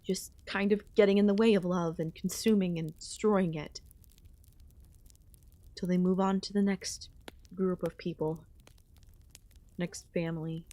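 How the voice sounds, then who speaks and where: A woman playing an ancient, possessive, lonely goddess queen in an ASMR audio roleplay, speaking in a hushed, intimate way with pauses. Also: on the low side, fairly even intonation, close to an everyday voice. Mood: musing, yearning.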